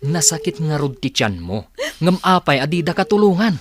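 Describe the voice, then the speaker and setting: A man's voice: a little high, big pitch swings, moderate pace. A man playing a concerned, protective father in a radio drama, questioning emphatically.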